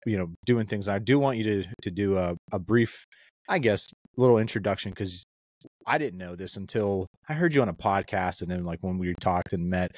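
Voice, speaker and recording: Low-voiced easygoing male podcast host. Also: moderate pace, dry recording. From Pennsylvania. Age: young adult. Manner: rambling, friendly, says "man" and "dude" a lot. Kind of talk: casual podcast conversation.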